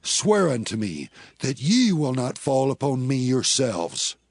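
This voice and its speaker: An actor playing a proud, defiant strongman in a dramatized Bible, speaking in a deliberate, declamatory way. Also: mid-range voice, big pitch swings, no echo, faint music behind. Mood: wary. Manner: declamatory, deliberate archaic speech. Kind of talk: dramatized scripture acting.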